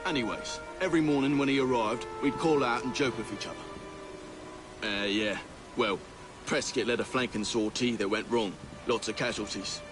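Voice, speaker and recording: Loud music plays behind an actor voicing a former soldier turned illicit gun seller. He is a plain-spoken man with a mid-pitched voice, talking as in a game cutscene. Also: normal speed, lightly acted. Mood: matter-of-fact. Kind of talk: video game cutscene dialogue.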